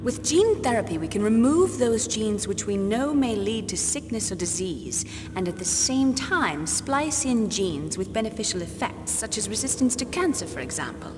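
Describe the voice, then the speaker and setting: An actress playing a poised, clinical military geneticist who explains things precisely and calmly in a video game briefing. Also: female voice, medium pitch, normal speed, hardly any pauses, lightly acted. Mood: clinical explaining. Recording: long reverb, clear background noise.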